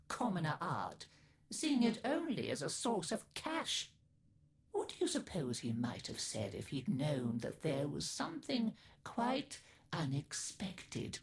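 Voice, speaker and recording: A woman's voice: low-pitched, a little mellow, exaggerated voice. A woman playing a genteel, witty elderly matriarch in a video game, refined and theatrical, archly confiding. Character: Granny Blue, genteel elderly matriarch, witty, haughty yet fond.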